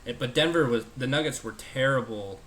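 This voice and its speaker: A man's voice: medium pitch, fairly quick, a bit bright, slight background noise, rough recording. A man from Wyoming hosting a casual sports podcast, asking eager questions and often restarting his sentences. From Wyoming, USA. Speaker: podcast host.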